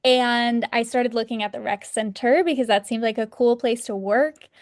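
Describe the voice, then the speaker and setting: A woman in her thirties from Texas, a podcast guest telling her story in a relaxed, rambling way. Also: on the high side, normal speed. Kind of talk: casual interview.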